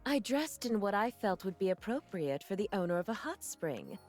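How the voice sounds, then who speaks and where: An actress voicing a poised, courteous hot spring owner in a video game, speaking politely and in measured phrases. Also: female voice, medium pitch, big pitch swings, music in the background, lightly acted. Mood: courteous, inquiring. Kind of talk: video game character dialogue.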